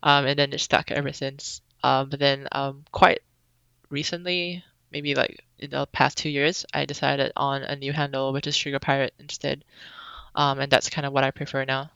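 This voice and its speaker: A young woman from Singapore chats as a podcast guest, relaxed and rambling with plenty of ums. Her voice is bright and crisp with a gravelly edge. The recording is dry and a little dull, like a lo-fi remote call. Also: a bass voice, moderate pace, no room sound. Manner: relaxed, chatty, many ums. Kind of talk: casual interview chat.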